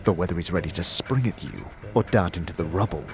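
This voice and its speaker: A man's voice: deep voice, animated, fast talker, creaky, soft background music. A man delivering scripted second-person narration as the narrator of a fantasy story.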